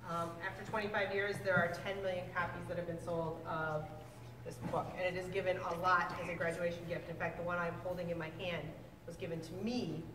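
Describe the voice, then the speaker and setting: A woman reading a children's book aloud to a class, with expressive, deliberate storybook phrasing. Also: mid-range voice. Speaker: teacher reading aloud to sixth grade students. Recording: echoey hall, distant mic, faint noise.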